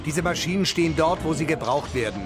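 Actor as stubborn, animated factory boss. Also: male voice, fairly high pitch, a little fast, music in the background, close to an everyday voice. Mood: dismissive. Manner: condescending, emphatic. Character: stubborn, dismissive male factory boss of engine works. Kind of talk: audio drama dialogue.

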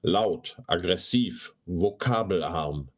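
Man, low voice, sarcastic, slangy, talk show host, casual commentary. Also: slow. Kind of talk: casual commentary with mocking read-aloud.

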